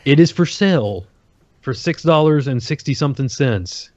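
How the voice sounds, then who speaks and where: A man co-hosting a podcast in casual chat, laid-back and quick to laugh. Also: medium pitch, expressive, a little mellow, no room sound, lo-fi sound. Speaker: podcast co-host.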